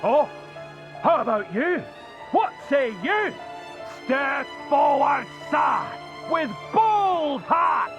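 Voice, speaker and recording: Pompous imperial recruiter: very high, swooping, slow showman's oratory, game cutscene. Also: big pitch swings, lo-fi sound, heavily acted. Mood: goading. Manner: bombastic, declaiming, showy. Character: pompous, theatrical male imperial army recruiter, bombastic showman. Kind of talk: video game cutscene acting, public oration. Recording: music behind the voice.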